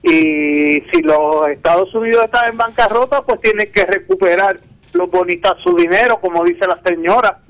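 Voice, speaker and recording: A man's voice: high voice, very animated, moderate pace, vocal fry, fairly bright tone, low-quality recording. A Puerto Rican man giving his opinion as a radio caller, emphatic and repeating himself. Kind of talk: spontaneous opinion on a call-in show.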